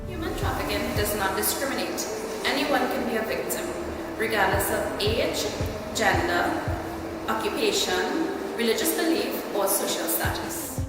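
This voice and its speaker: Woman, Trinidadian accent, higher voice, scripted presenter, distant and very echoey. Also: animated, unhurried. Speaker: student presenter. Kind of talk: scripted narration. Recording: echoey hall, distant mic, loud music.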